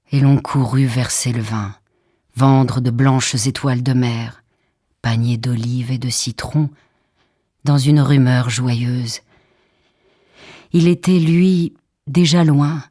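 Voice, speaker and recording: A woman reads poetry for an audiobook in a solemn, measured, expressive way, with deliberate pauses and hushed phrases. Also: rumbling low, breathy edge, dry recording, clean studio sound. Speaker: poetry reader. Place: radio theatre studio. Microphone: close studio microphone.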